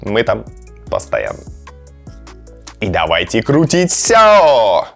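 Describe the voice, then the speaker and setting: A man's voice: fairly low pitch, wildly swooping pitch, slow, gravelly, a bit bright, faint music behind. A man hosting a gaming and cooking vlog, chatting casually and playfully, sometimes to his cat. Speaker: host of a gaming and cooking channel. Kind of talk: casual chat to camera with gameplay reactions.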